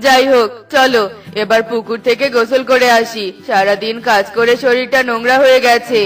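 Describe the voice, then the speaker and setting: A man's voice: very high-pitched, fairly even intonation, normal speed, fairly bright tone, soft background music. A man voicing a mild, appreciative, hardworking father bird in a children's cartoon. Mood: kindly.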